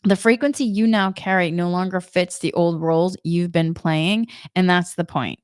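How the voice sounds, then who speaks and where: Smooth low-voiced woman with a Boston accent. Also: steady tone, moderate pace, dry recording, clean studio sound. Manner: gentle, repetitive, reflective. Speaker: spiritual energy guide, Reiki practitioner. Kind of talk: spiritual guidance talk.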